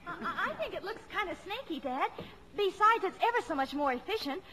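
A very high, girlish voice, swinging widely in pitch. She is a timid, easily shocked teenage sister in a fifties radio play. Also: lively intonation, brisk, pushed and bright, a little hiss or hum, full character voice. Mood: nervous, eager. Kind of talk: 1950s radio acting.

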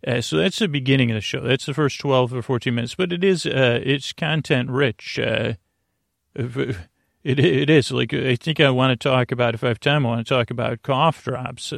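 A man with a creaky voice hosting a sleep podcast, talking in a meandering, halting bedtime monologue that drifts and repeats itself. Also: medium pitch, no echo, studio quality. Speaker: podcast host.

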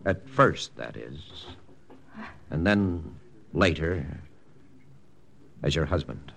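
An actor playing a confident American army intelligence lieutenant in a 1940s radio drama, brisk, persuasive and matter-of-fact. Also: male voice, a bass voice, lively intonation, creaky, a bit bright, a little hiss or hum, exaggerated voice. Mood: persuasive, firm. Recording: old radio broadcast with music.

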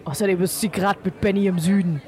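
A woman playing a sceptical, no-nonsense pub keeper, brisk and dismissive, in an amateur audio drama. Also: mid-range voice, fairly even intonation, a little mellow, a faint crowd, lightly acted. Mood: exasperated.

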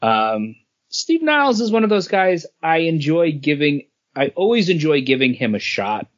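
A man co-hosting a podcast in casual comic book chat, halting as he searches for words, on a dull recording. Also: on the high side, very animated. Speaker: podcast co-host.

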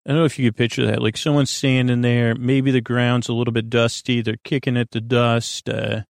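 A man hosts a bedtime podcast in a gravelly, fry-laden voice at an ordinary male pitch. He wanders through his monologue, halting and doubling back on his own sentences. The sound is dry and close, with little room around it. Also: little pitch movement, no echo. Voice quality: creaky. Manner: meandering, halting, self-interrupting. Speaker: podcast host. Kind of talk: rambling sleep podcast monologue.

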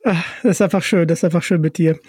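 High-voiced man hosting a podcast. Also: fairly even intonation, quick pace, darkish tone, husky, dry recording. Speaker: podcast host. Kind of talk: casual interview chat.

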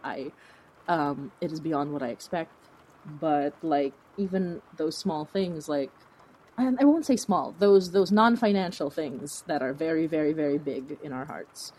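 Effusive low-voiced woman with a husky edge. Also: unhurried, a little mellow, low-quality recording. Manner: effusive, emphatic, gushing. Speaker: podcast creator, interview guest. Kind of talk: casual conversational interview chat.

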